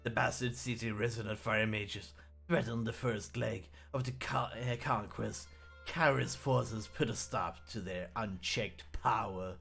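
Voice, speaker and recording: A man's voice: fairly low pitch, steady tone, a little slow, vocal fry, a bit bright, husky, faint music behind. A man hosting a let's play, reading the game's text aloud and often restarting words as he stumbles.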